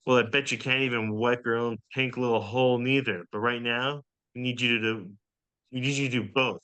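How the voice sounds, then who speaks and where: A man's voice: medium pitch, steady tone, brisk, bright, forceful tone, clear, resonant tone, close to an everyday voice. A man playing a belligerent, foul-mouthed agency superior in a comedy table read, haranguing crudely and aggressively. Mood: belligerent, scornful.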